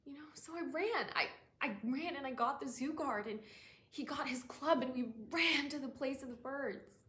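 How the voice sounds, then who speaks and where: A woman playing a shaken young woman haunted by cruelty she witnessed, in a halting, emotional dramatic stage monologue. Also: medium pitch, fairly even intonation. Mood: anguished, screaming. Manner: halting, hushed and breathy, swelling into anguished cries. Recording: roomy echo, faint background noise.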